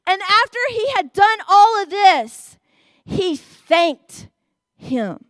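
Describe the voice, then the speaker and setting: A woman with a Southern US accent preaching a sermon, stressing her words slowly and with emphasis. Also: very high-pitched, big pitch swings, a bit bright, dry recording.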